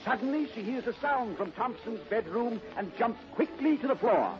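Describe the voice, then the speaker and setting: A narrator reading scripted story narration in 1930s radio style. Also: female voice, fairly high pitch, animated, moderate pace, close to an everyday voice. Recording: muffled old radio recording with music.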